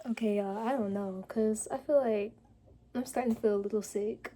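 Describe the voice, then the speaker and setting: A woman's voice: medium pitch, steady tone, moderate pace, dark tone, slight background noise. A young woman vlogging casually while sick, her voice weak, chatting with lots of fillers and switching between English and learner Korean. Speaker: vlogger and language student.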